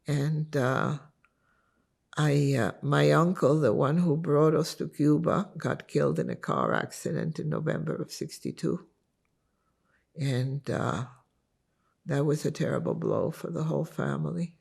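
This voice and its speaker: Gravelly, husky older woman, slow and reflective. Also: low-pitched, expressive, a little mellow. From Lithuania. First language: Yiddish. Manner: reflective, unhurried storytelling. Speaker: interviewee giving testimony. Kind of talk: recollecting life story in interview.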